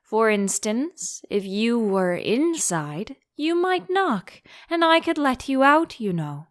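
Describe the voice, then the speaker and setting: A woman voicing a solemn, obtuse frog footman in a storybook, slow and literal-minded in his stubborn indifference. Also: on the high side, sing-song, no echo, studio quality. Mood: matter-of-fact.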